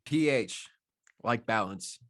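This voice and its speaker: A man's voice: fairly low pitch, expressive, slow, fairly bright tone. A man playing a wary, witty streetwise friend, casual and slightly hesitant, in a screenplay table read. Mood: dry, cool.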